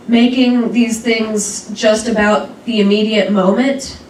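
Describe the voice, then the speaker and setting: A woman's voice: mid-range voice, a little mellow, a little hiss or hum. Candid woman speaking from the audience. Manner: candid, self-deprecating. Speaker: school board candidate. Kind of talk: audience comment at a luncheon. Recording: echoey room from far off.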